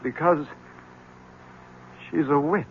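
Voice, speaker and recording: An actor playing a polite, hesitant, troubled husband with dark intentions, in old-time radio mystery style. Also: male voice, a little high, steady tone, slow pace. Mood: tense, earnest. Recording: dull, noisy vintage radio recording.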